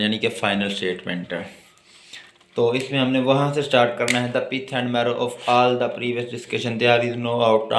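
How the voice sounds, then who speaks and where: Slow, low-voiced Pakistani man lecturing, echoey room. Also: fairly bright tone. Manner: informal, explanatory, switches between reading and explaining. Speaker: law lecturer. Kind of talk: lecture, reading English notes aloud and explaining them. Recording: echoey, roomy voice recording.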